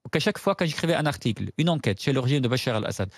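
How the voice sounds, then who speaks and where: A man from Syria, an Arabic speaker, speaking as a guest author on a radio talk show in an animated, insistent way, often repeating words as he stumbles. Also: medium pitch, racing, no echo, clean studio sound. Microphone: studio microphone.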